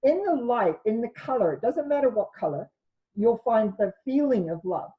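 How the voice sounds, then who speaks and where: A woman with a loud voice giving an informal spiritual talk to an online group and answering questions, often correcting herself as she goes. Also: fairly high pitch, lively intonation, moderate pace, narrow, tinny audio. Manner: rambling, self-correcting delivery. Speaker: spiritual teacher hosting an online session. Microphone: video call.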